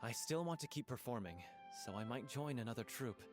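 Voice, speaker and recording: Actor as mid-pitched earnest young man, music behind. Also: lively intonation, brisk, natural voice. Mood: earnest, admiring. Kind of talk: video game voice acting. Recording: music under the voice.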